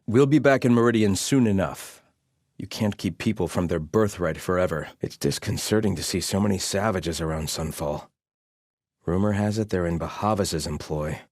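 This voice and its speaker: A devout, disgruntled soldier from a fantasy video game. His voice sits fairly low and swings widely in pitch, with a close, dry sound. He speaks with pompous loyalty and gruff, scornful grumbling. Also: no room sound. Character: zealous, grumbling adult male soldier loyal to a deposed king. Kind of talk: video game voice lines, battle barks and muttered remarks.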